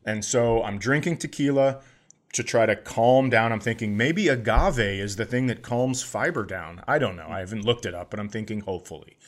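A man's voice: on the low side, normal speed. A man who is a comedian and podcast co-host, chatting casually and telling stories in a profane, mock-indignant rant. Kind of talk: casual podcast chat and storytelling.

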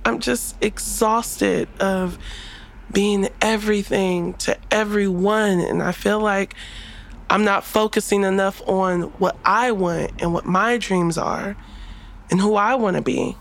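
A woman's voice: mid-range voice, fairly even intonation, takes it slowly. An actress playing a sassy, outspoken young woman in a naturalistic audio drama, with slangy, emphatic delivery. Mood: weary, candid.

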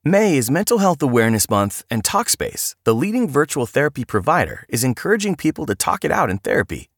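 A man reads a sponsor ad, his pitch swinging up and down very animatedly in an upbeat promotional style. His voice sits mid-range and sounds dry and close. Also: sing-song, fairly quick, slightly rough, no echo. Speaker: ad reader. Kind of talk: scripted sponsor read.

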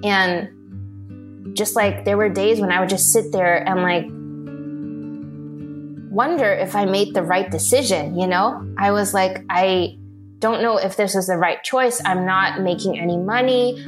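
A woman's voice: mid-range voice, fairly even intonation, a little slow, over music. A young woman from Hong Kong, a guest telling her story in a casual interview, rambling with many fillers and restarts. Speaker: interview guest, video producer.

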